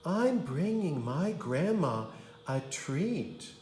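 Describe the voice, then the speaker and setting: A man's voice: on the high side, big pitch swings, extremely slow, a little mellow, voice pushed up, full character voice. A young storybook girl, puzzled and suspicious, played by a man reading aloud. The voice lifts a little and swings animatedly through emphatic, wide-eyed questions in a roomy-sounding space. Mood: cheerful.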